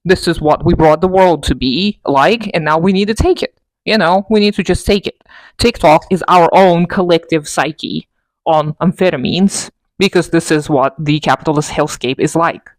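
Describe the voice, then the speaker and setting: A woman's voice: deep voice, moderate pace, no echo, low-quality recording. Woman, vlogger, unscripted rant, exaggerated, self-mocking, dry close recording. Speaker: political video blogger. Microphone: camera mic.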